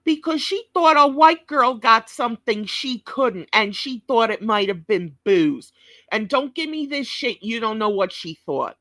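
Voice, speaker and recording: Slow, blunt New woman. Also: a little high, expressive, pushed and bright, no echo, studio quality. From New York. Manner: blunt, scolding, heavy swearing. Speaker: commentator reacting to a clip. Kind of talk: profane reaction commentary.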